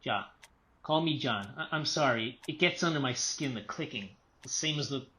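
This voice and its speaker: A frayed family man and writer in a radio play. He is agitated and irritable, circling back on his own words in halting bursts. His mid-pitched voice swings sharply up and down. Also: lively intonation, bright, forceful tone. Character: tense, unravelling male writer, a married father.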